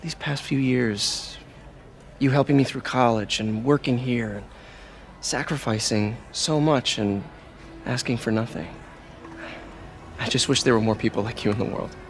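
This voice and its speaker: A man's voice: mid-range voice, unhurried, natural voice. A man playing an eager, awkward, good-hearted young superhero in a video game, with a casual, earnest, boyish delivery. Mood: sincere. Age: young adult.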